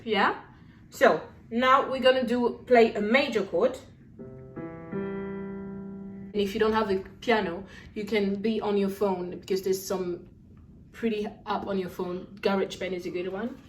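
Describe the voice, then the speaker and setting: A young adult woman teaching a singing lesson in a relaxed, encouraging way, checking in with her students and demonstrating warm-up exercises. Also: medium pitch, unhurried, long pauses, faint music behind. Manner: demonstrates lip trills and sung syllables. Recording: echoey room, voice a little far from the mic.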